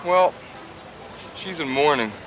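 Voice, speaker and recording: A man's voice: fairly high pitch, animated, takes it slowly, lo-fi sound, over music. An actor playing an eager, fawning male car salesman with a chirpy, eager-to-please manner. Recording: noisy background, off-mic.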